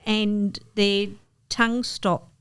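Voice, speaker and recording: A woman's voice: medium pitch, flat delivery, takes it slowly, smooth, no echo. A middle-aged woman, chatting patiently as a radio guest about her wildlife centre. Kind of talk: casual radio chat.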